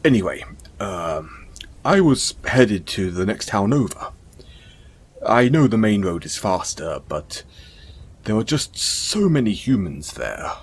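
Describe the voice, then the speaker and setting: A man playing a gentle, caring, self-conscious centaur in an intimate audio roleplay, speaking in a soothing, hesitant, apologetic way. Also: lowish voice, big pitch swings, takes it slowly, breathy edge, full character voice. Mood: caring, soft-spoken.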